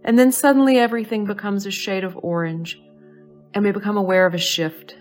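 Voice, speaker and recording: A woman leading a guided meditation in a soothing, measured way, pausing between short phrases. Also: medium pitch, fairly even intonation, faint music behind. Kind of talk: slow guided meditation narration.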